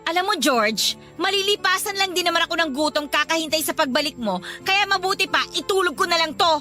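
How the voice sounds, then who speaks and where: Very high-pitched, snappish Filipino girlfriend. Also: lively intonation, a little fast, pushed and bright, exaggerated voice. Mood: angry, exasperated. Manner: snappish, scolding, exasperated. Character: irritable, fed-up live-in girlfriend, sharp-tongued and cold. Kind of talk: Filipino radio drama acting. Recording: music behind the voice.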